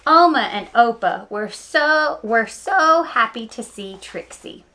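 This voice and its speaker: A woman reading a picture book aloud to young listeners, expressive and speaking to them directly with small asides. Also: fairly high pitch, takes it slowly. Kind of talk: children's read-aloud storytelling with asides.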